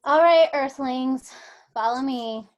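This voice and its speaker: A high-pitched, bossy alien examiner, acted by a woman, with dry, blunt delivery and open scorn for humans. It sounds like a part in a comedy script table read. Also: slow pace, lo-fi sound. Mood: brisk, official.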